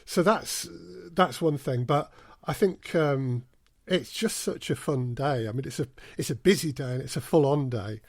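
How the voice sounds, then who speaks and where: A man's voice: mid-range voice, moderate pace, hardly any pauses, no room sound, clean studio sound. A man speaking as an audio drama producer in an interview, with hesitant, stop-start phrasing. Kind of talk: interview conversation.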